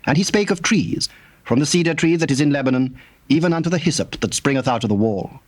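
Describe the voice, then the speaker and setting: A man's voice: fairly high pitch, lively intonation. Formal male scripture narrator. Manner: formal, measured.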